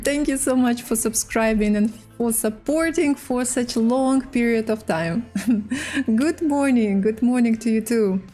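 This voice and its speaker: A woman with a Russian accent, a native Russian speaker, hosting a casual chess livestream in halting, hesitant English. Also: a little high, darkish tone. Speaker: livestream host and chess player. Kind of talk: casual livestream chat while playing chess.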